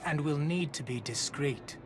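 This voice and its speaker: An actor playing a shrewd, hardened mercenary leader in a video game, dry and measured. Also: male voice, on the high side, music in the background, natural voice. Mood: businesslike. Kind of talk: video game cutscene acting.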